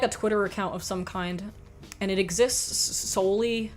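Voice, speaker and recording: A young woman with a quiet voice hosting a livestream, reading chat and chatting frankly in a rambling way, with lots of "like". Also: fairly low pitch, a little hiss or hum. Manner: rambling, frank, laughs often, says "like" a lot. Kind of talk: casual chat.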